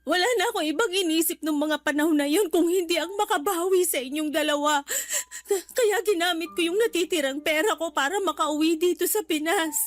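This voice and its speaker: A woman's voice: very high-pitched, a little fast, no room sound. A woman playing a remorseful, pleading middle-aged mother in a Filipino radio drama, with emotional, tearful delivery. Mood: distraught. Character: remorseful, tearful mother begging her son's forgiveness.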